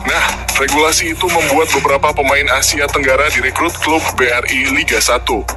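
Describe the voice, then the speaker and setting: A man's voice: medium pitch, fairly even intonation, a little fast. Indonesian male sports news narrator over music. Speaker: news narrator for a football channel. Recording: music bed under the voice. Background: background music.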